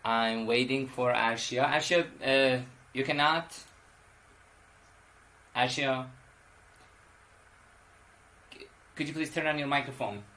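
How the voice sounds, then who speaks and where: A man's voice: medium pitch, fairly even intonation, slow, pauses often, bright, forceful tone. A man teaching an English class over a video call, calling on students and hesitating often with fillers. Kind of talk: running an online class.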